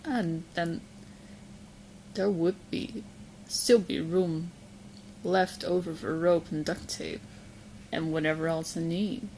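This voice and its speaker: A woman's voice: fairly low pitch, fairly even intonation, slow pace, pauses often, a little mellow, slight background noise, rough recording. A young woman voicing a sweet, romantically obsessed witch girlfriend in an ASMR roleplay, playful and possessive as she speaks straight to the listener. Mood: cheerful, obsessive. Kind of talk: ASMR roleplay audio drama, spoken to the listener.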